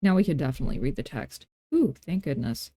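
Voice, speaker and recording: A woman hosting a software tutorial in a casual, playful way, thinking aloud and tossing in self-deprecating asides as she works. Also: sits low, lively intonation, fast, dry recording, clean studio sound. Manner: informal thinking aloud while working. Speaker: tutorial host. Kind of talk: casual screen-recorded software tutorial narration.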